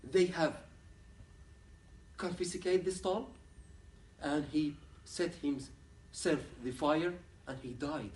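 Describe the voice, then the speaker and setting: A man from Ahwaz gives a conference lecture as a human rights activist. He speaks in earnest, halting English. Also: a little high, darkish tone, a little hiss or hum. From Ahwaz, southwestern Iran. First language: Arabic. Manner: earnest, plain-spoken, halting non-native English. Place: university lecture room. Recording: echoey room, picked up from a distance.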